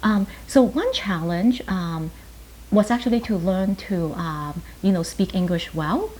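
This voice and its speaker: A woman in her fifties from Hong Kong, a native Chinese speaker, reflecting on her life in an earnest, halting way in short phrases. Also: lowish voice, darkish tone, low-quality recording. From Hong Kong, later New York. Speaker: pharmacist and writer being interviewed. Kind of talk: reflective personal interview answers. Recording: hissy background noise.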